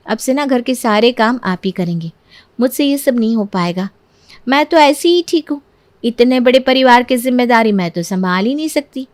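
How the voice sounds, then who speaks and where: A coy, stubborn young daughter-in-law from a cartoon tale, acted by a woman. Her voice is very high and mock-innocent, recorded close and dry. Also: lively intonation, moderate pace, no echo, natural voice. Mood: refusing. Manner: mock-innocent, self-justifying. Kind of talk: cartoon moral story voice acting.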